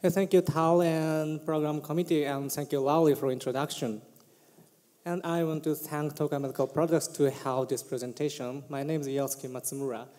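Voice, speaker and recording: A man's voice: a little high, steady tone, darkish tone. Japanese-accented man presenting a case. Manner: plain, careful, with halting fillers. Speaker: conference speaker. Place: large conference hall stage. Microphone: podium mic. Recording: reverberant hall.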